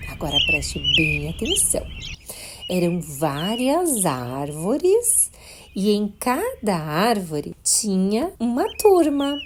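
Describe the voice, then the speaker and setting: A woman with a Brazilian accent narrating a children's bedtime story, expressive and unhurried, talking directly to her young listeners. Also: lowish voice, slight background noise. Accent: Brazilian Portuguese.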